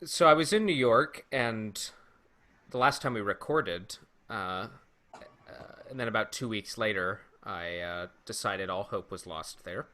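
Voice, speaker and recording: A man's voice: on the low side, unhurried, pushed and bright. A man co-hosting a casual podcast chat with a dry, joking manner. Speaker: podcast co-host.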